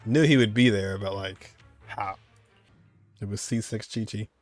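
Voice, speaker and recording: A man's voice: lowish voice, a little slow, soft background music. A man giving casual reaction commentary on game cutscenes, slangy and full of exclamations.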